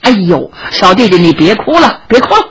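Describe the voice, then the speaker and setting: A woman's voice: medium pitch, sing-song, fairly quick, heavy background noise, lightly acted. A woman voicing a brave young swordsman in a storytelling performance, chatty, coaxing and a little boastful.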